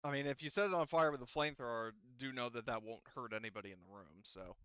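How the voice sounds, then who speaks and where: A man's voice: mid-range voice, quick pace, fairly bright tone, clear, resonant tone, no echo, highs cut off. A man acting as game master, explaining rules in casual tabletop roleplaying talk.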